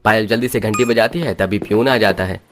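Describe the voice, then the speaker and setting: A man's voice: on the low side, a little fast, a bit bright, faint music behind. A man narrating a scripted moral story in a dramatic storytelling style.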